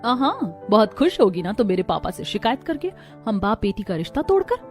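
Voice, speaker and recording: A woman's voice: medium pitch, very animated, moderate pace, faint music behind, lightly acted. A woman playing a hot-tempered, defiant young daughter in a cartoon family melodrama. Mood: angry, defiant. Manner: confrontational, indignant.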